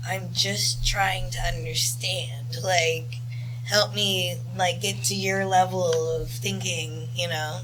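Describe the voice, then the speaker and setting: Female guest, low for a woman, candid, noisy humming room. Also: steady tone, slow pace. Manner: frank, earnest. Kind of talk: casual podcast chat. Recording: noticeable background noise and hum.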